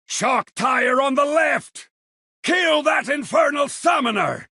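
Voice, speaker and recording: An actor playing a brash, blustering Viking warrior with a raspy, gravelly voice in a video game. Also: male voice, lively intonation, slow pace, sharp tone, dry recording, shouting, studio quality, exaggerated voice. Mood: urgent, battle-roused. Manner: booming, blustering battle cries. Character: bellowing, brash Viking warrior defending a castle. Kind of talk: video game character voice lines.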